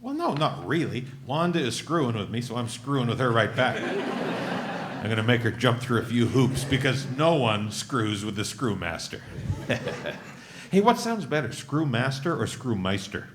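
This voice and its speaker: A playful man who jokes and boasts with a wink, read by a man. His voice is lively and animated. A clear hiss from the live room runs behind him. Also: mid-range voice, moderate pace, close to an everyday voice. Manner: jokey, teasing.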